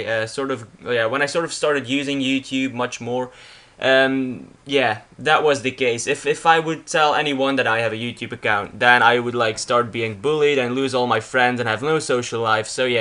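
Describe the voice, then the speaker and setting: A young man with a clear Flemish accent talks casually in English, his second language, with a bright, forward voice. He rambles, restarts his sentences and fills gaps with "uh" and "yeah", at a mid pitch. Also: normal speed. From Belgium. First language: Dutch. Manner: rambling with frequent restarts and fillers. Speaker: YouTuber. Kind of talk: casual vlog narrating a slideshow.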